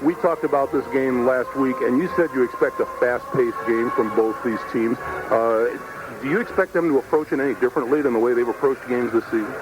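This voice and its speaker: Male sports radio host, medium-pitched, interviewing on a taped broadcast with music underneath. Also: a little fast, low-quality recording.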